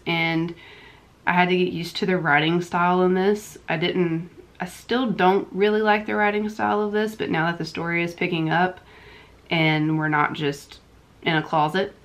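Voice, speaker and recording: Woman, congested voice, low-pitched, casual and emphatic, repeats herself for stress, home room, camera mic. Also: a bit bright. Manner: chatty and emphatic, with frequent repetitions. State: stuffy, congested. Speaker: book vlogger. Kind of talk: casual book review chat. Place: home room lined with bookshelves. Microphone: camera in front at desk distance.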